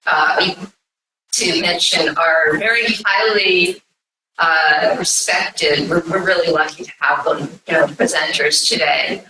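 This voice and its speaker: A woman's voice: on the low side, bright, forceful tone, distant mic, slight background noise. A woman hosting an event, giving an informal welcome speech to an audience. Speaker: event host introducing presenters. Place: echoey indoor conference room. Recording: echoey room, picked up from afar.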